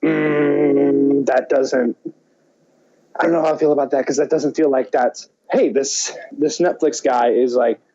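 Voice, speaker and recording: Mellow man, dull lo-fi audio. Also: mid-range voice, fairly even intonation, unhurried, creaky. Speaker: podcast co-host. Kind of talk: casual chat.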